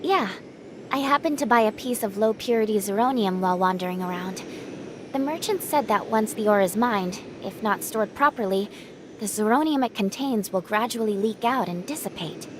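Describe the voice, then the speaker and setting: A woman voicing a gentle, self-assured young angel in an anime game, calm and reassuring. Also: medium pitch, normal speed, noisy background, close to an everyday voice.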